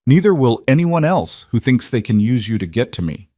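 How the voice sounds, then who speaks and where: A man voicing a cold, determined mafia boss who is protective of his family, with intense, measured delivery in an audiobook. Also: mid-range voice, sing-song, warm tone, no room sound, clean studio sound, pitched above the performer's own voice. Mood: confident. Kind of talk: audiobook character dialogue.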